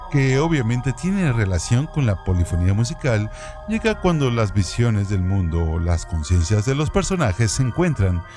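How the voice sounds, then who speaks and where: A man reading scripted literary radio narration in a formal, measured way. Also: mid-range voice.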